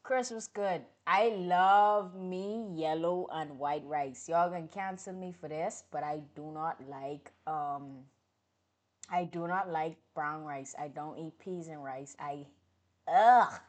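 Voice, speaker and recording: A woman with a fairly low voice chats casually with her livestream viewers. She is outspoken and animated, flaring into loud outbursts and then sinking back to low, muttered asides. It sounds like a casual stream from a computer or phone mic. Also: takes it slowly. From Bahamas.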